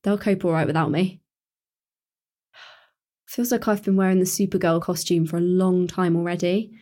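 A woman's voice: on the low side, fairly even intonation, normal speed, mellow, lightly acted. An actress playing Emma, a caring, teasing teenage schoolgirl in a radio drama, speaking in an earnest, coaxing way. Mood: warm.

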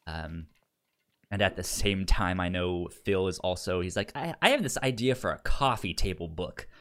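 Stammering man with a lowish voice. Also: normal speed, fairly bright tone. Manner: stammering, repeats word starts and syllables; acts out quotes in playful raised voices. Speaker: podcast co-host. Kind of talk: casual story recap and review chat.

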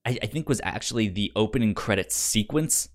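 A man co-hosting a casual film discussion, speaking haltingly and often repeating words and syllables. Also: lowish voice, expressive. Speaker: film podcast co-host.

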